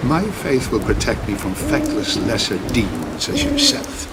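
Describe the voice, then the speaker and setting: An actor playing a wry, brave priest in a video game, with an Irish accent and a dry, folksy, unflappable manner. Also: male voice, fairly low pitch, wildly swooping pitch, normal speed. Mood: wry, calm. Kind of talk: video game cutscene acting. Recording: noisy, with rain behind.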